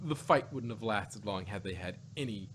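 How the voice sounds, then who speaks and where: A man co-hosting a review podcast in casual, unscripted chat, rambling and often rephrasing himself with animated asides. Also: on the low side, normal speed, slight background noise. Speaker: podcast co-host reviewing audio dramas.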